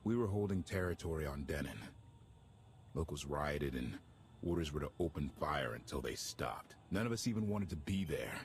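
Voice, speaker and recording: An actor playing a plain-spoken former warship captain in a game cutscene. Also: male voice, very deep voice, animated, moderate pace, a little hiss or hum. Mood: serious. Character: blunt former warship captain, earnest man. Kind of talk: video game cutscene acting. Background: game music.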